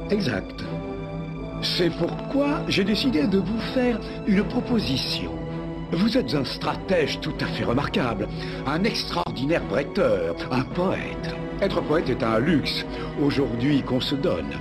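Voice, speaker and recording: Pompous scheming cartoon villain, super animated pitch, fake polite, music behind. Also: male voice, slow. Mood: coaxing. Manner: pompous, mock-courteous, florid. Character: scheming villain, suave, theatrical, gloating male tyrant. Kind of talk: dubbed cartoon villain acting. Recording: music under the voice.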